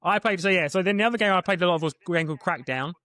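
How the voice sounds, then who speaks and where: A man's voice: high-pitched, bright, forceful tone, dry recording. A young man co-hosting a podcast, chatting casually and rambling with lots of restarts. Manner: rapid, rambling, many restarts. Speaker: podcast co-host. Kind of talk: casual chat.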